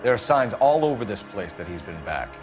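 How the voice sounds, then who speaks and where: A man's voice: fairly low pitch, big pitch swings. A man playing a hard-nosed police detective in a crime game, pressing his point sternly. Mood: threatening. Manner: stern, pressuring, deliberate emphasis. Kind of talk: dramatic game acting. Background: background music.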